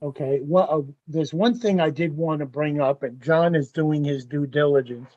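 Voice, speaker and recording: A man's voice: fairly high pitch, moderate pace, a little mellow. A man presenting his site plans to a village board, explaining in a practical, plain-spoken way. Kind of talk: explaining at a public meeting. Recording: lo-fi meeting audio.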